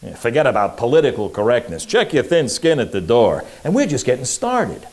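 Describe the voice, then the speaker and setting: An actor playing a slick, wisecracking 1940s radio private eye, pitching in brash, slangy tough-guy patter. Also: huge pitch swings, brisk, a little mellow. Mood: wry, nostalgic. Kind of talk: hard-boiled promo narration in old-time radio style. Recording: music under the voice. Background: music.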